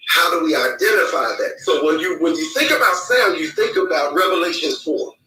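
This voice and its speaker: Male guest preacher, bright, slightly raised pitch, expository, remote audio echoey and far off. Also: lively intonation, fairly quick, a little hiss or hum. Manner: expository, quoting scripture, rhetorical questions. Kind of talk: preaching-style teaching.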